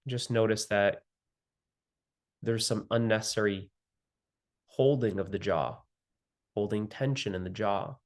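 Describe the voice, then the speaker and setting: Very slow, low-voiced man guiding meditation. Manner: gentle, soothing, with long pauses. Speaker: meditation guide. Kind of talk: guided meditation.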